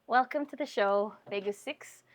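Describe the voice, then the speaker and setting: A woman from Fiji with a Fijian English accent, hosting a casual interview with a band and asking questions with soft fillers. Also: medium pitch, normal speed, dry recording. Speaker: podcast host.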